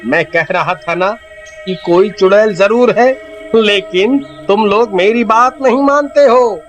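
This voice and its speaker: High-pitched bossy village man played by an actor. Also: takes it slowly, soft background music. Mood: insistent warning.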